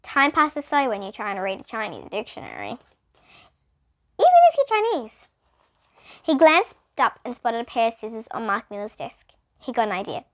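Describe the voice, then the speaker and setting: A woman's voice: fairly high pitch, wildly swooping pitch, moderate pace, pauses often. A female reader narrating a children's storybook aloud, with occasional explanatory asides.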